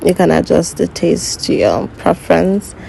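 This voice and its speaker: Young woman, non-native English speaker, cooking voice-over, step-by-step, self-correcting, gravelly, faint background hiss. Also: on the low side, expressive, dark tone, rough recording. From Nigeria. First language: not English. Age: young adult. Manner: unhurried, self-correcting, instructive. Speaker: cooking vlogger.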